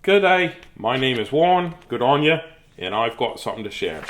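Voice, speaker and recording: A man voicing a peeved, furry marsupial from a storybook, indignant and emphatic, in a rough imitation Australian accent. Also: mid-range voice, very animated, moderate pace, a bit bright. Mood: indignant greeting. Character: peeved furry male Australian marsupial protesting he's no bear.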